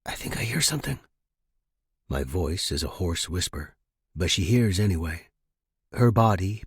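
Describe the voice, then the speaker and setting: Husky guy hosting a story podcast, really dry close sound, bit of music under him. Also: low voice, a little mellow, dry recording. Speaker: podcast host and story reader. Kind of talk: casual podcast intro and dramatic story narration.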